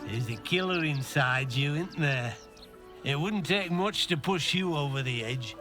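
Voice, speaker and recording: An actor voicing a sinister male weapon merchant who talks in a smooth, insinuating, unhurried way. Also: on the high side, expressive, bright, forceful tone. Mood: menacing. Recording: game audio with music underneath.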